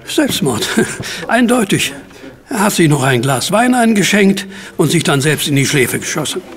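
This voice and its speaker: An actor playing a brusque, skeptical and bossy police superintendent with a gravelly voice in a German audio drama. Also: male voice, fairly high pitch, big pitch swings, normal speed. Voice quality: gravelly, creaky. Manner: brusque, barking orders.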